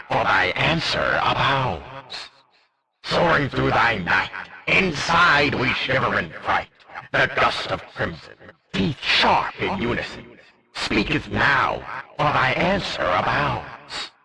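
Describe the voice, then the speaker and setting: A man's voice: a little high, vocal fry, exaggerated voice, poor audio. A man voicing a jesty, centuries-old skeleton merchant for a game, speaking in theatrical mock-archaic language. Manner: theatrical, archaic, exclamatory, riddling. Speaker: character voice for a game.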